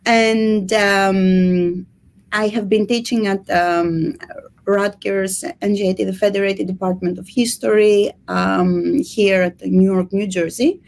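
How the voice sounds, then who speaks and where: A woman from Greece in her thirties with a Greek accent, a history lecturer speaking in an interview with many pauses and ums. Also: mid-range voice, steady tone, vocal fry, a little mellow. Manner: hesitant, many ums and restarts. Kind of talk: casual interview talk.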